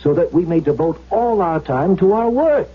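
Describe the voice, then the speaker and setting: An actor playing a brisk, sly party official with a husky voice and persuasive, breezy manner in a 1970s radio drama. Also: male voice, high-pitched, expressive. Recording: muffled old radio recording.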